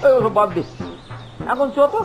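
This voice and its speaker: A man's voice: high-pitched, animated, normal speed. A man playing a brash, dismissive young male friend in a children's cartoon story, with scoffing, exaggerated delivery. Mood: scoffing, bossy. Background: background music.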